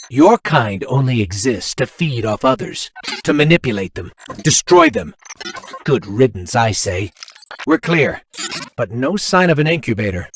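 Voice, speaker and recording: Lively, wry robot sidekick. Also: male voice, mid-range voice, slight background noise. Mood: indignant. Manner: conversational, quippy, sarcastic at times. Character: earnest, wry little robot companion of a hero. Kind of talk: video game voice acting.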